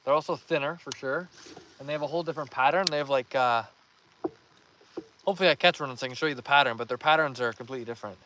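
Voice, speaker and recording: A young man hosting a live fishing stream outdoors, reading viewer comments aloud and giving upbeat shout-outs in a relaxed voice. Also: mid-range voice, racing, slight background noise, rough recording. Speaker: fishing livestream host.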